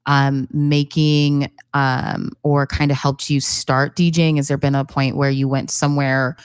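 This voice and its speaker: A woman's voice: rumbling low, little pitch movement, unhurried, clear, resonant tone, no room sound, studio quality. A woman co-hosting a radio show, upbeat and encouraging as she asks questions. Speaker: radio co-host. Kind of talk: casual interview chat.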